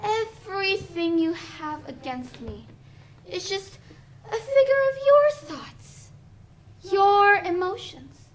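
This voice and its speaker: A woman playing a contemptuous, taunting spirit in a stage play, with theatrical, drawn-out delivery. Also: very high voice, pushed and bright, exaggerated voice. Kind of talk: stage acting in a one-act play. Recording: noisy live stage recording.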